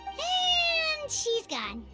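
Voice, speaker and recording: An actress voicing a tiny, childlike, eager fairy companion in a video game, with a squeaky voice. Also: female voice, huge pitch swings, drawn-out words, loud music behind the voice, full character voice. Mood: curious, eager.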